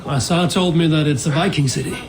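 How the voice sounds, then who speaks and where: An actor playing a composed, warm seafaring Viking warrior in a video game, speaking in a measured, plainspoken way. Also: male voice, fairly high pitch, a crowd in the background, close to an everyday voice. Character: adult Viking warrior newly arrived by sea, composed, warm. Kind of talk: video game cinematic acting.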